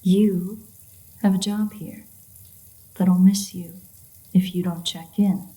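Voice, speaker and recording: A woman's voice: on the low side, steady tone, takes it slowly, dark tone, rough recording. A woman playing a sardonic, free-spirited, flirtatious female vampire in an intimate audio roleplay, teasing and swearing as she goes. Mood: sarcastic, teasing.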